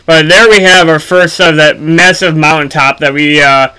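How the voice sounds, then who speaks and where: A man giving casual, unscripted gaming commentary for a video, speaking haltingly with frequent ums and pauses. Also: a little high, fairly even intonation, sharp tone, clean tone, poor audio.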